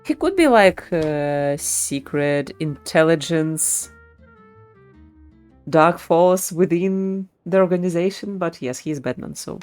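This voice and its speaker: Slow-talking, lowish-voiced woman streamer. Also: animated, darkish tone, clear, resonant tone. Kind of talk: casual live game commentary. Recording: game music behind the voice. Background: video game music.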